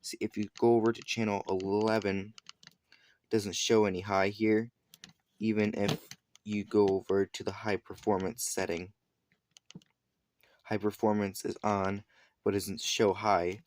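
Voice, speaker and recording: A man with a fairly low voice talks through a product review in an informal, unscripted way. He explains step by step, a little haltingly, often backing up to correct his wording. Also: flat delivery, darkish tone. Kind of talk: casual unscripted product demonstration.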